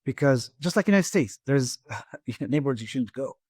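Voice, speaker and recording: Man, iraqi accent, middle-aged, mid-pitched, repeats himself, dry booth sound. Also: animated, darkish tone, breathy edge, dry recording. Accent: Iraqi Arabic. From Iraq. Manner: halting, with frequent restarts and repeated words. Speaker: guest, engineering firm president. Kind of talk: podcast interview.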